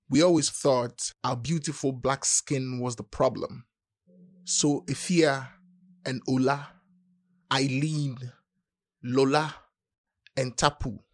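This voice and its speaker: A man reading poetry aloud deliberately, pausing phrase by phrase. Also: mid-range voice, dry recording, studio quality. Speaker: poetry reader.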